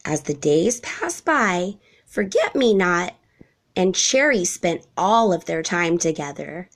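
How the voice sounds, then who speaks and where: Female storybook narrator for young children, expressive and varied in pitch. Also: slow. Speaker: storybook reader. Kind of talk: expressive children's read-aloud.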